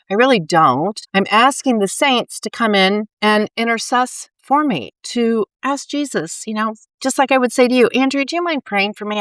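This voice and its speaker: A woman's voice: medium pitch, fairly bright tone, dry recording. A woman co-hosting a faith podcast, chatty and explaining, a bit stuffy from allergies, often tagging questions on her points. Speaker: podcast co-host. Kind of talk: casual faith chat.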